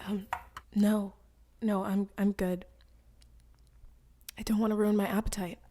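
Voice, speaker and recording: A woman's voice: mid-range voice, little pitch movement, unhurried, dark tone, a little hiss or hum, lightly acted. A woman playing a guarded, hurting young daughter in a radio play. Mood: hesitant, evasive. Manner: hesitant, evasive.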